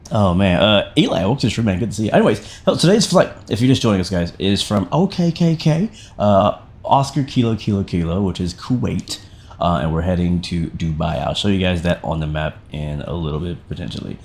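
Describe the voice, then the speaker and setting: Low-pitched, slightly raspy man streaming. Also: expressive, brisk, darkish tone. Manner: chummy, slangy, thinking aloud. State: getting over a sickness, voice not fully back. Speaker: flight sim livestream host. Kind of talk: casual stream commentary.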